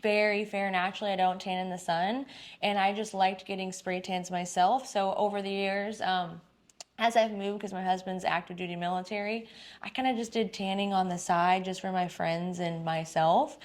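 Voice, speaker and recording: A woman's voice: mid-range voice, fairly even intonation, moderate pace. A woman in her thirties from Texas, a salon owner answering interview questions in a chatty, upbeat way with plenty of fillers. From Dallas-Fort Worth, Texas. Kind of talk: casual interview.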